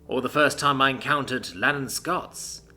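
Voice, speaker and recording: A man reading a first-person science fiction story in an animated way and acting out the characters. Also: medium pitch, moderate pace. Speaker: narrator.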